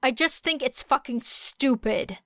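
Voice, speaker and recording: A woman's voice: high-pitched, animated, measured pace, gravelly, fairly bright tone, no room sound, pitched above the performer's own voice, heavily acted. A woman voicing a prickly, defiant young woman in an audiobook, curt, scoffing and sarcastic. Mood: scoffing, irritated. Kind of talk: audiobook character voice.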